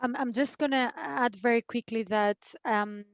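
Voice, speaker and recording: A woman's voice: on the high side, moderate pace, dry recording, clean studio sound. A woman, co-founder of a nonprofit, speaking thoughtfully in a webinar over a video call and repeating words as she shapes her point. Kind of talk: webinar conversation.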